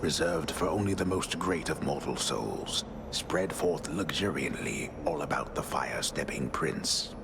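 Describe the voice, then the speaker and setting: An actor playing a solemn, grandiose old storyteller, narrating a mythic tale for a video game in ornate, deliberate phrases with a gravelly voice. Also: male voice, very deep voice, lively intonation, heavily acted. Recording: loud noise behind.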